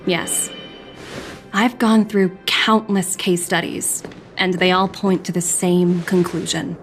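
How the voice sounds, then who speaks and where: A woman's voice: on the low side, a bit bright. A woman playing a confident, shrewd young corporate agent in a video game, composed, wry and thoughtful. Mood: grave, certain. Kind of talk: video game cutscene acting.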